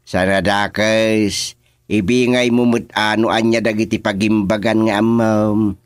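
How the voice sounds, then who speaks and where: An actor with an Ilocano accent playing a relaxed, approving village man in a radio drama, musing and humming as he talks. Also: fairly low pitch, a little slow, smooth, no echo, studio quality, lightly acted. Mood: teasing. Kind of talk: radio serial drama acting.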